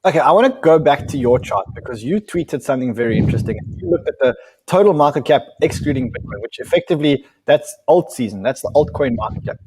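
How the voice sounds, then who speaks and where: A man's voice: medium pitch, quick pace, noisy background. A man hosting a live crypto stream, urging viewers on and calling in guests with eager energy. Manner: pushy, excitable, urging viewers. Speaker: show host.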